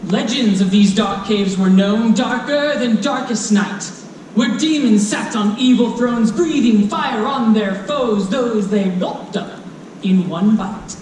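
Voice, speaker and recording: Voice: mid-range voice, slow pace, darkish tone. A storyteller reading rhyming children's fantasy to a live audience in a dramatic, playful way, speaking directly to listeners. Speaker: storyteller presenting a children's book. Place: convention stage before a live audience. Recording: echoey live hall, distant mic, faint noise.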